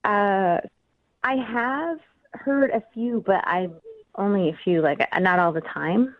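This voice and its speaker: A woman's voice: medium pitch, slow pace, no room sound. A woman answering a phone call in a hesitant, guarded way. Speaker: person called by radio show. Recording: tinny narrow phone line.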